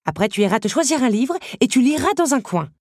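Woman voicing a bossy motherly teapot, high-pitched. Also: very animated, quick pace, a little mellow, dry recording, clean studio sound. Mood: scolding. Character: brisk motherly female teapot, scolding yet polite.

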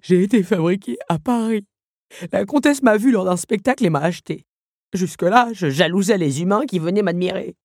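A woman's voice: mid-range voice, animated, a little mellow, no echo, clean studio sound, voice pushed up. A woman voicing a fragile, tearful male automaton who stammers and sobs as he speaks, in an audiobook. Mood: wistful. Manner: sobbing, halting, stammering. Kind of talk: audiobook character voice.

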